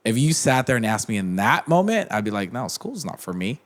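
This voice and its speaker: A man's voice: fairly low pitch, sing-song, a little fast. A young man talking as a podcast guest in casual chat, rambling with many restarts and fillers. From United States.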